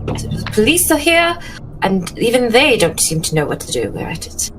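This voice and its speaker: A genteel lady played by a woman speaks haltingly and formally, nervous yet proud. Her old-fashioned phrasing suits a tabletop horror game, with music clearly playing behind her. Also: a little high, sing-song, rough recording. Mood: nervous, shaken.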